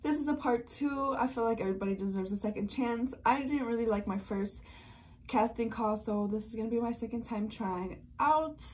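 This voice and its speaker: A woman's voice: a little high, normal speed, darkish tone, poor audio. A nineteen-year-old woman from the Chicago area, chatting earnestly to the camera while doing her makeup and using many fillers like "you know". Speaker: beauty vlogger. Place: small indoor room in front of a painted brick wall.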